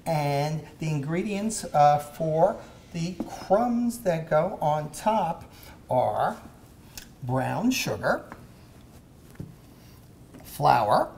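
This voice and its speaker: A man hosting a kids' cooking show in a home kitchen, talking in a halting way with many "uh" fillers. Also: fairly high pitch, very animated, vocal fry, a little mellow, a little hiss or hum. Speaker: host.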